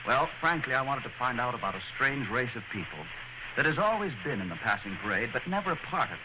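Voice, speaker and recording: A man's voice: mid-range voice, big pitch swings, fast. A man working as a radio commentator, telling a story in an earnest, theatrical 1930s dramatized style. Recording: noisy old 1930s radio broadcast.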